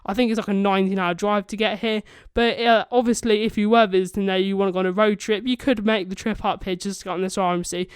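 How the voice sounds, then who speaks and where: Fast, high-pitched young male vlogger. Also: flat delivery, clean tone, no echo, studio quality. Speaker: YouTube vlogger, channel host. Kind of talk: casual informational video commentary.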